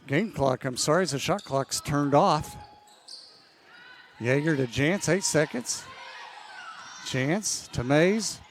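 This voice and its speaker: Guy calling a basketball game, slow and steady, kinda high voice, going hoarse, faint gym sounds. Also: a little hiss or hum. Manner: steady, measured calls that rise on big plays. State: losing his voice, clearing throat. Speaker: play-by-play announcer. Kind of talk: basketball play-by-play commentary.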